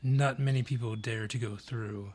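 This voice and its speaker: A man voicing an ancient, gruff dryad forest guardian in a fantasy audio drama, husky, brusque and ominous in delivery. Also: medium pitch, fairly even intonation, a little mellow, natural voice. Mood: grim, dry. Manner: deliberate, brusque, ominous storyteller. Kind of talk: fantasy audio roleplay acting.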